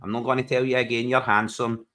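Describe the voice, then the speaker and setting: A Glaswegian man chatting on a live football stream, with a bright, forceful voice. He teases his friend and jokes at his own expense, calling him bro and mate. His voice comes through a home desk mic. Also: medium pitch, little pitch movement, fast talker. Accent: Glaswegian Scottish. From Scotland. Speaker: podcast host. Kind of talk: casual livestream banter.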